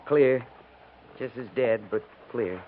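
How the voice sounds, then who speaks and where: An American actor playing a blunt, folksy, wry frontier cavalry sergeant in a 1950s radio western. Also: male voice, mid-range voice, takes it slowly, a little hiss or hum, lightly acted. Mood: blunt, resigned. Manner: folksy, wry, drawn from rustic speech. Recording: tinny old radio recording, busy background.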